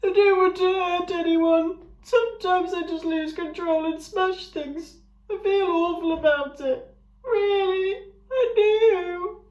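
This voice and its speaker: A high, strained, tearful male ram blubbers his apologies, as a woman puts him on for a storybook reading. He is friendly and clumsy, and his voice comes out loud and squeaky. The recording has a roomy echo. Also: sing-song, a little slow, highs cut off, full character voice. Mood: weepy.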